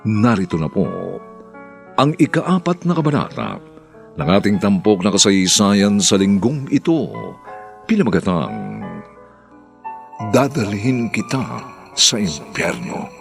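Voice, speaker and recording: A man's voice: lowish voice, vocal fry. A man introducing a radio serial as its announcer, in a theatrical scripted style. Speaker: radio drama announcer and narrator.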